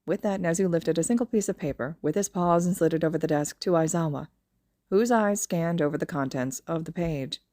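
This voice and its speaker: A woman narrates a piece of fan fiction from a script. Her voice sits a little low and is relaxed and dark in tone. The sound is very dry, with no room to it. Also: fairly quick, no echo.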